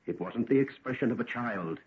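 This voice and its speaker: An actor playing a middle-aged, protective father, earnest and measured, in an old-time radio melodrama. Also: medium pitch, lively intonation, husky. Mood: grave foreboding. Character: grave, protective father, uneasy and affectionate. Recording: muffled, tinny old radio recording.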